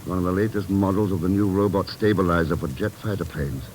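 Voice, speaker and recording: An actor playing a cool, shrewd, dryly witty investigator in an old radio drama, measured and deliberate. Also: male voice, deep voice, steady tone, a little mellow, a little hiss or hum, lightly acted, rough recording. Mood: shrewd, deducing. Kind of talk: 1940s-50s American radio drama acting.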